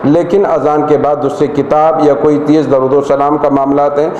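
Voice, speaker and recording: A man's voice: fairly high pitch, little pitch movement, moderate pace, darkish tone. Man making an appeal in an echoey room. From Karnataka, India. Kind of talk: public appeal, statement. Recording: echoey room, faint background noise.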